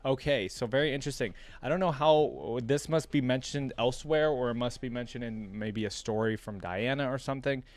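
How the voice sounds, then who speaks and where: A man's voice: mid-range voice, fairly quick, few pauses, no echo. Casual man reacting, dry close sound. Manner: conversational, reads aloud with off-the-cuff asides. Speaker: reaction video host. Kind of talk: casual reaction commentary, reading wiki text aloud.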